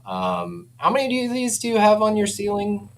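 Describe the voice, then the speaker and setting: A man's voice: sits high, huge pitch swings, normal speed. A man casually and enthusiastically showing off products as a company representative, informal and given to chuckling. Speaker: speaker company representative giving a product demo. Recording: clear background noise with hum.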